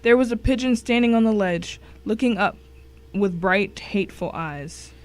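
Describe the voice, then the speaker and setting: A woman reads a suspense story in the first person. Her medium-pitched voice carries a dramatic narrating style. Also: normal speed.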